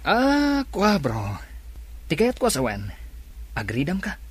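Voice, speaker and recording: A teasing young Ilocano buddy in a radio drama. His husky voice is slow and swoops up and down. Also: a little high, huge pitch swings, full character voice. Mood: joking.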